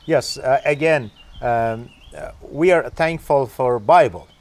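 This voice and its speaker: A man from Afghanistan, hosting a podcast interview and asking questions in halting English full of "uh" fillers. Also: mid-range voice. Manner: halting, frequent "uh" fillers, non-native phrasing. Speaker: podcast host.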